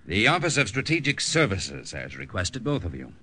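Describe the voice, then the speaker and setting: An actor playing a grave, authoritative officer type in a 1950s radio drama. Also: male voice, sits low, huge pitch swings, moderate pace, breathy edge, exaggerated voice. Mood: measured, confidential. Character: grave, authoritative army captain and dramatic announcer. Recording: bright old radio recording, faint music.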